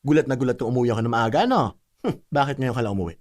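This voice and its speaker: A woman's voice: rumbling low, animated, very fast, darkish tone, soft background music. A woman playing a strict, hot-tempered elder sister who scolds and interrogates like a parent, in radio drama style. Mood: stern, scolding.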